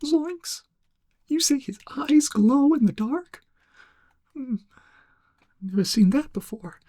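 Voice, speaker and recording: A man playing a laid-back, cowardly, goofy young amateur sleuth in a soft-spoken ASMR roleplay, rambling hesitantly in a breathy, cracking stoner drawl. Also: very high-pitched, lively intonation, slow pace, dry recording, studio quality, full character voice. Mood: earnest, hesitant. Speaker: ASMR performer.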